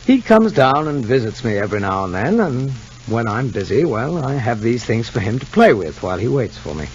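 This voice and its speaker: A man playing a calm, probing child psychologist in a measured, hesitant way in a 1950s radio soap. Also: mid-range voice, lightly acted. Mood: kindly, coaxing. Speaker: actor in a radio drama. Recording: dull, faintly noisy old radio recording.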